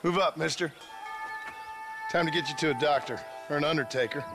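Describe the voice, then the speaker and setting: An actor playing a dry, courteous, weathered cowboy gunslinger with an American accent and a sardonic manner, in a video game. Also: male voice, a little high, brisk, over music, lightly acted. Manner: sardonic, polite. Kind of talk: video game cutscene acting.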